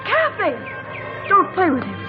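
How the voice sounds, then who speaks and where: A woman's voice: extremely high pitch, very animated, unhurried, full character voice. A woman playing a spiteful, jealous, bullying young boy in an old radio drama. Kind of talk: 1940s radio drama acting. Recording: muffled radio broadcast over music.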